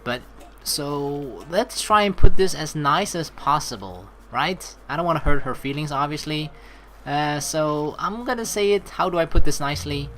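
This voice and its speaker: Playful, animated low-voiced woman gamer. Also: normal speed, slight background noise. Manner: playful, chatty, reacts to the story. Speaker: let's play host. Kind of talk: game playthrough, reading narration aloud with casual commentary. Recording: game music behind voice.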